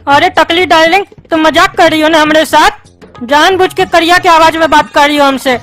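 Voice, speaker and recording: A high-pitched cartoon papa played by a woman with a Bihari accent, sentimental and doting. He talks in an exaggerated, plaintive, homespun way, with light music underneath. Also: steady tone, fairly quick, fairly bright tone. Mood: delighted, coaxing. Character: sentimental, doting widowed father in comic cartoon. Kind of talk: cartoon skit dialogue. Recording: music behind the voice.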